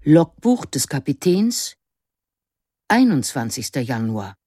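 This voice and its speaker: An actress as the announcer of logbook headings in a radio drama, speaking slowly and portentously. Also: female voice, low-pitched, sing-song, warm tone, husky, no echo, clean studio sound, full character voice. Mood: solemn.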